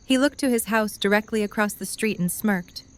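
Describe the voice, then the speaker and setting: A woman's voice: mid-range voice, normal speed. A woman reading scripted third-person story narration for an audio drama.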